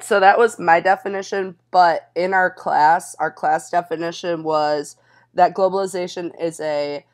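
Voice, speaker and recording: A young woman conducting a student interview, talking informally and reassuringly as she puts questions. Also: on the low side. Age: young adult. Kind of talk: casual interview with scripted questions.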